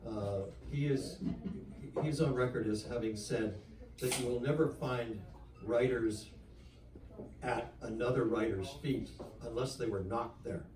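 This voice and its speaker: Man, author, mid-pitched voice, measured emphatic delivery, roomy distant recording. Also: a crowd in the background. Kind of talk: literary reading, then frank talk. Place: whisky bar literary event. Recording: echoey room, distant from mic.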